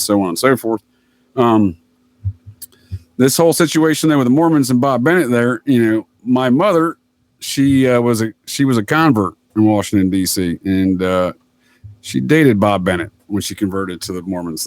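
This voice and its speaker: A man's voice: fairly low pitch, dry recording. A man from the United States explaining things casually as a podcast guest, with wry, folksy asides.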